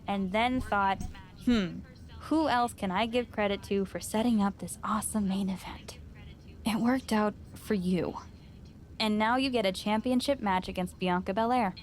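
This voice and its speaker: Actress as dry teasing gym owner lady, straight talker, mid pitch, game cutscene. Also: natural voice. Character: frank, wry woman gym owner mentoring a wrestler. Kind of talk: video game cutscene dialogue.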